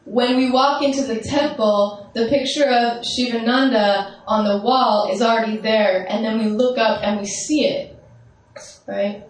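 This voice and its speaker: A woman's voice: fairly high pitch, steady tone, measured pace, far from the mic. A woman teaching meditation with a strong, carrying voice, guiding listeners through visualizations and often checking in with them. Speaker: spiritual teacher. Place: large echoey meditation hall. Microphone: speaking without a microphone. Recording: roomy hall echo, voice off-mic.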